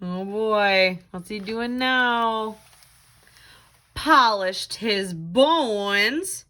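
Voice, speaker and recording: A woman's voice: mid-range voice, drawn-out words, sharp tone. A woman tutor reading to children in a sing-song storytelling style, with chatty asides. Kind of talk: expressive read-aloud and craft lesson for children.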